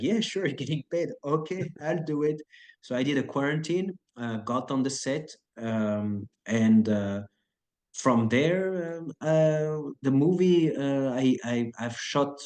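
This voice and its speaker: Chill guy in his 30s rambling thru an interview, lots of uh and like, choreographer type. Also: mid-range voice. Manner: relaxed, rambling, many fillers and restarts. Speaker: action choreographer and actor. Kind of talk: casual interview chat.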